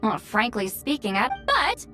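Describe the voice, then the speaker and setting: A woman's voice: fairly high pitch, very animated, a little slow, sharp tone, faint music behind, heavily acted. A woman playing a proud, blunt and impatient female shaman in a video game, brusque and challenging. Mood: pointed, confident.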